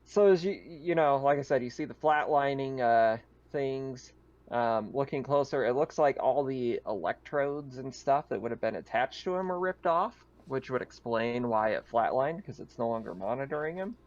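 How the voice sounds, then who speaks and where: A man acts as game master for a horror roleplaying game, speaking in a conversational, descriptive way. His voice sits at a middling pitch. The sound is slightly dull and lo-fi, as over an internet voice chat. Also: normal speed, darkish tone. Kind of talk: narrating an online tabletop horror game in casual talk. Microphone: online voice call.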